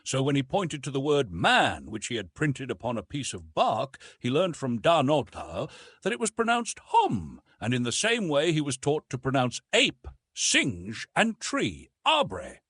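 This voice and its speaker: Male audiobook narrator, middle pitch with very lively movement, husky tone, dry close recording. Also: measured pace, no echo. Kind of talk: scripted narration of an adventure novel.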